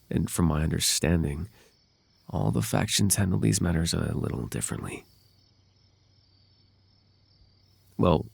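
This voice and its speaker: Man playing a gravelly, lovelorn fae prince. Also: sits low, a little mellow, no echo, exaggerated voice. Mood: relieved, clinging. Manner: halting, tender, formal old-fashioned wording. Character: possessive, lovelorn fae royal heir, male, pleading and anxious. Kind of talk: ASMR roleplay, intimate dramatic monologue.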